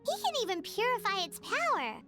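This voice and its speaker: A woman voicing a tiny, excitable childlike fairy companion in a video game, with a squeaky voice. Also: a little fast. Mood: curious. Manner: speaks of herself in the third person, exclamatory. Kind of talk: video game character acting. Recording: music behind the voice.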